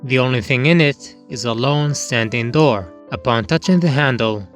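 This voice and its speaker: A man's voice: medium pitch, normal speed, faint music behind. A man narrating a scripted review with comic asides. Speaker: manga review YouTuber, narrator.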